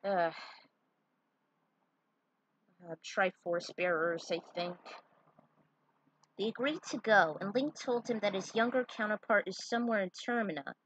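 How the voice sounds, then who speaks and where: A woman with a husky voice narrating a story and dropping in casual asides. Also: mid-range voice, normal speed, a bit bright, slight background noise, poor audio. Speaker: fan fiction writer reading their own story.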